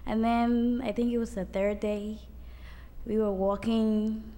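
A woman's voice: on the high side, level tone, slow, warm tone. Chatty young woman telling a story. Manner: chatty, filler-heavy storytelling. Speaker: student giving testimony. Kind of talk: casual storytelling testimony. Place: church hall. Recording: long hall reverb, faint noise.

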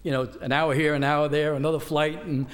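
A man's voice: fairly high pitch, little pitch movement, brisk. An older man, a missionary preacher, telling his congregation about his trip in a rambling, informal way full of restarts. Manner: rambling, many restarts and fillers. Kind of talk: informal sermon report with a joke.

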